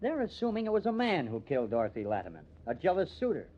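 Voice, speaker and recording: A dull vintage radio recording of a brash working-class wise guy. His fairly high voice swings up and down freely, with blunt slang and sarcastic jabs in old radio-drama style. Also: huge pitch swings, fast, darkish tone, a little hiss or hum. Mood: suspicious.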